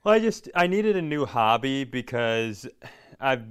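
A man with a clear, smooth voice talks casually as a comedy podcast co-host. His delivery is rambling and self-deprecating, at an ordinary middle pitch. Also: very animated, unhurried, a bit bright. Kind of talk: casual comedic banter and announcements.

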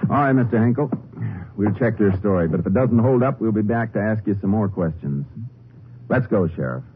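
An actor playing a calm, firm Texas Ranger lawman in a 1950s radio western drama. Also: on the low side, brisk, natural voice. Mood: firm, businesslike. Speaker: lead investigator and narrator. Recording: tinny 1950s radio recording.

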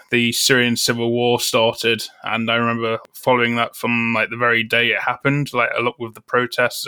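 A man's voice: medium pitch, bright, forceful tone, clean tone, no echo. A man, recounting his own story as an interview guest, hesitant with frequent fillers and restarts. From England. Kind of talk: casual personal recounting.

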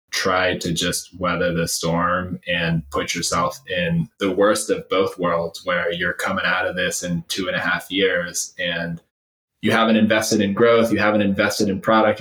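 A man's voice: sits low, a bit bright. A man speaking as an interview guest and startup executive, conversational yet emphatic and opinionated, building points through repeated phrases. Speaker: startup CEO and former finance leader, interview guest. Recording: roomy, echoey sound.

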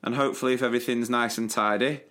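Relaxed man plugging a show. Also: mid-range voice, racing. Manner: relaxed, promotional plugs. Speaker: podcast co-host. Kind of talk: casual chat.

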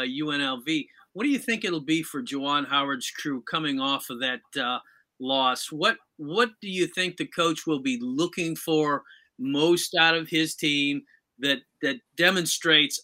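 A man's voice: on the high side, pushed and bright. A man hosting a sports show, putting questions to a guest in a conversational interviewing style.

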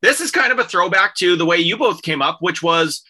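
A man hosting a relaxed talk with touring comedians, his voice slightly high and very bright. He asks long, rambling questions padded with fillers, sounding keen on the topic. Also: a little fast. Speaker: podcast host interviewing comedians. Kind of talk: casual interview chat.

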